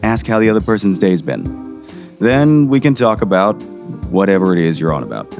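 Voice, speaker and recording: A man's voice: lowish voice, very animated, normal speed, vocal fry, over music. A man playing a weary small-town sheriff in an audio drama, folksy, patronizing and dismissive. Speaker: voice actor.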